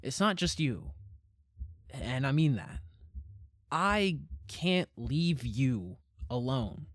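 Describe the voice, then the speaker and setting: A man's voice: mid-range voice, big pitch swings, extremely slow, dry recording, studio quality, full character voice. An actor playing a patient but wounded young man in an audio drama, talking in a natural, dryly wry and hesitant way. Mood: earnest, firm.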